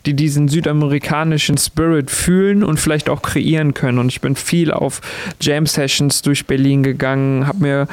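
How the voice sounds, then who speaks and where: A man's voice: fairly high pitch, fairly even intonation, moderate pace, dry recording. A young man from Ecuador, a musician guest on a radio show, talking reflectively and often restarting as he searches for words. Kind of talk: radio interview.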